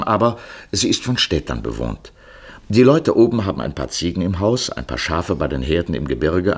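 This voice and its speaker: Male narrator for literary radio drama, very low husky voice, scripted storytelling.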